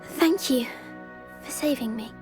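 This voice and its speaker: A scared little boy in a wizard game, super high voice, earnest. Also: unhurried, darkish tone, faint music behind. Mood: relieved. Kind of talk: video game voice acting.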